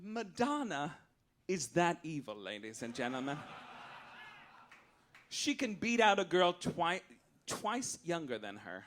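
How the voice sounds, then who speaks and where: A man's voice: a little high, sing-song, slow, long pauses, fairly bright tone, slight background noise. A man performing satirical stand-up on stage as a show host, with a campy, arch, theatrical delivery, reading mock-official announcements. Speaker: comedian hosting a live show. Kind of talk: satirical stand-up monologue read as mock-official rulings to a live audience. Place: on stage at a live cabaret venue.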